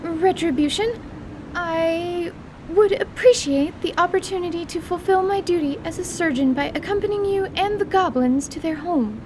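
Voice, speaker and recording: A woman acts out an earnest young lady surgeon in a fantasy radio play. Her voice is pitched very high, with formal, eager, precise phrasing. A clear rumble of ambience surrounds her. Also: moderate pace. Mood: formal, hopeful.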